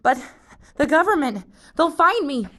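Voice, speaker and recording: A woman's voice: sits high, big pitch swings, unhurried, a bit bright, voice pushed up, full character voice. A woman reading aloud, voicing a frightened, doubtful young boy. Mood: panicky. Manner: panicky and doubtful.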